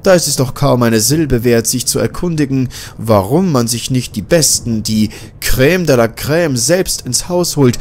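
A man voicing an ageing, slight male scientist who talks in a courteous, flattering way. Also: medium pitch, unhurried, few pauses, a little hiss or hum, voice pushed up, lightly acted. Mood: smooth, flattering.

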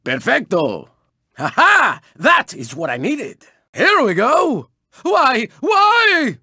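A man's voice: high voice, huge pitch swings, slow, bright, forceful tone, husky, no echo, studio quality, heavily acted. A man voicing a cocky, flamboyant race car in a video game, with a Spanish accent and a boastful, dramatic manner. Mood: boastful, gleeful. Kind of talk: theatrical video game character barks.

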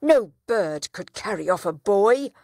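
A woman voicing a dishonest male town trader who speaks loudly and accusingly. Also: medium pitch, huge pitch swings, measured pace, creaky, no room sound, studio quality, heavily acted. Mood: indignant.

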